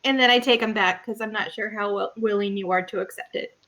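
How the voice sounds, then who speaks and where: A woman's voice: medium pitch, fairly even intonation, brisk, sharp tone. A young woman roleplaying playfully in an online tabletop game, with a chirpy, eager way of talking. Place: home room on a video call.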